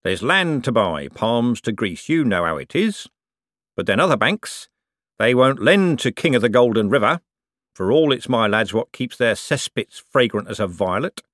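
A man voicing a gruff, rich businessman, who talks slowly and boastfully. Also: mid-range voice, very animated, a bit bright, dry recording, clean studio sound. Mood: scornful. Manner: boastful, blunt, slow and emphatic. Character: gruff rich working-class male businessman.

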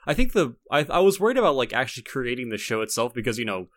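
A young man co-hosting a podcast, reminiscing casually in a halting, rambling way with frequent repeated words. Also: mid-range voice, big pitch swings, fairly bright tone, no echo. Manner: halting, repetitive, rambling delivery. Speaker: podcast co-host.

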